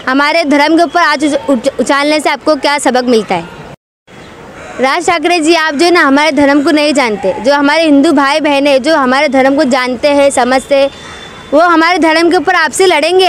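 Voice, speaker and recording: A woman's voice: high voice, a little hiss or hum. A woman giving an unscripted, impassioned political statement, admonishing and repeating her appeals insistently.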